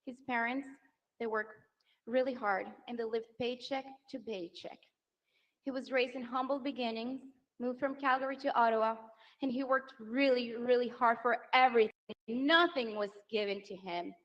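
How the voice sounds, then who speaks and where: A woman's voice: fairly high pitch, fairly even intonation, normal speed, highs cut off. A woman, speaking emotionally into a handheld mic at a large outdoor rally and building to rousing applause lines. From Venezuela. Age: 30s. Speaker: political spouse introducing candidate. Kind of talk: rally speech.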